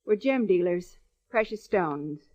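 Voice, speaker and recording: An American actress playing a businesslike female gem dealer, crisp and matter-of-fact, in old-time radio drama style. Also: on the low side, animated, slow, a little mellow. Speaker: radio actress in supporting part. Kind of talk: 1940s-50s American radio drama acting. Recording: dull old radio recording.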